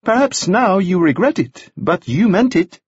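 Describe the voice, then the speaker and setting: A man voicing a cold, formal gentleman who talks in a clipped, precise and icily polite way. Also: high voice, very animated, measured pace, slightly rough, no echo, muffled recording, pitched above the performer's own voice, heavily acted. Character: cold, formal, coolly mocking gentleman host.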